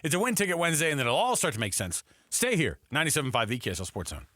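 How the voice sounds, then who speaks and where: Male talk-radio sports host, conversational, bright and punchy, dry studio sound. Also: on the high side, big pitch swings, rapid-fire, no room sound.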